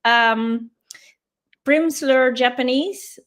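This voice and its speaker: A woman's voice: high voice, extremely slow, a bit bright. A woman whose first language is Dutch, a guest in a casual interview, explaining things patiently with many fillers.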